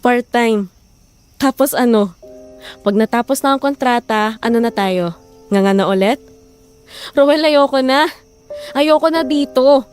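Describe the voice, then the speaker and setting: A woman plays a worn-down young family's mother with a high voice. She speaks with emotion, pleading and reproaching, in a radio-style drama. Soft music plays underneath. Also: slow, long pauses, clear, resonant tone. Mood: bitter, resolute. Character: weary, frustrated wife and mother in hard times. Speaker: voice actor. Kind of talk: Filipino audio drama acting.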